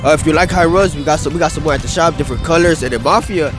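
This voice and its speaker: Young man, slightly raised pitch, loose slangy rambling talk, outdoor handheld mic interview, music behind. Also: racing. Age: young adult. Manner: loose, rambling, slangy, lots of "bro" and "dude". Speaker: interviewee, wheelie rider. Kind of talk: casual interview. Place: open grass field outdoors by a city skyline. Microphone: handheld mic held by interviewer. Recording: music playing underneath. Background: background music.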